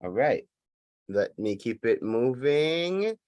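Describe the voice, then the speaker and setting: A man's voice: mid-range voice, big pitch swings, slow pace, a little mellow, no room sound. Guy running a zoom biz meeting, easygoing n encouraging, mid voice, dry sound. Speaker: meeting host. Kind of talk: informal hosting of an online business meeting. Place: online video call.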